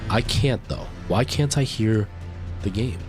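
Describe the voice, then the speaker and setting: A man's voice: on the low side, a little slow, music in the background. A young man with a stuffed-up nose and a lingering cough, chatting casually with viewers while live-streaming a game, rambling with lots of fillers.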